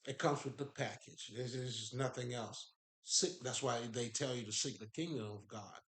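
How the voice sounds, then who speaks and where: Man, Haitian accent, mid pitch, emphatic and profane, laughs a lot, echoey room. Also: moderate pace, a bit bright. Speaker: spiritual speaker. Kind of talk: casual spiritual talk.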